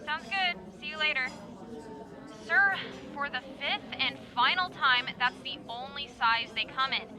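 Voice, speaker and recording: A woman's voice: high-pitched, a little slow, a crowd in the background. A woman playing a sassy, breezy young shop worker in an audiobook drama, with a casual manner. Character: sassy, breezy young female friend working retail.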